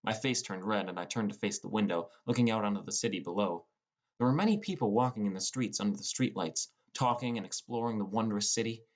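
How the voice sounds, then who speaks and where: A man's voice: fairly low pitch, fast talker. A man reading scripted first-person fantasy fiction as an audiobook narrator.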